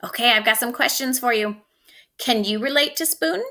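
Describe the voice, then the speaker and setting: A woman teacher reading a storybook and giving a lesson to children, expressive and speaking straight to them. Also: on the high side, moderate pace. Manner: expressive, addressing children directly.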